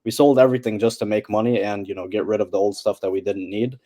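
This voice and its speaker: A man's voice: lowish voice, little pitch movement, fast talker, dark tone. A teenage boy from Norway, chatting as an interview guest and hesitating with many fillers. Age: teens. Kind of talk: casual interview chat.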